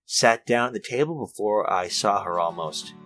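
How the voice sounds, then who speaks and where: A man's voice: on the low side, moderate pace, fairly bright tone, faint music behind, natural voice. An actor playing a fussy, orderly, mild-mannered man with a prim, hesitant, polite manner in a retro radio drama. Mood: quiet, deliberate narration. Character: fussy, orderly, mild-mannered male workshop owner recounting a murder.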